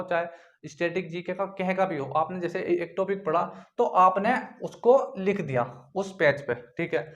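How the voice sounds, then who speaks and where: A man's voice: high-pitched, a bit bright. A young man giving informal study instructions to viewers, repeating himself and often adding little check-in tags. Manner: repetitive, frequently checks in with tag phrases. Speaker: exam-preparation tutor. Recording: dull lo-fi sound.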